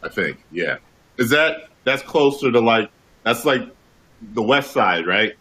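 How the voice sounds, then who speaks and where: A man's voice: mid-range voice, expressive, unhurried, pushed and bright, rough recording. A man hosting a casual chat, brash and teasing, with loose swearing. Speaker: host.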